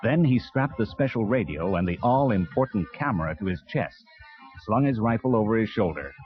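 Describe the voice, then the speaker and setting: A man's voice: on the low side, animated, a little fast, faint music behind. A man narrating a scripted children's adventure story as a read-along. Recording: dull vintage cassette.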